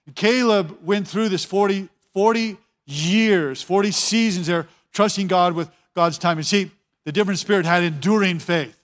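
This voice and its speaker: High-voiced man preaching a sermon. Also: normal speed. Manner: conversational, repeats words, urges listeners to "watch". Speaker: pastor.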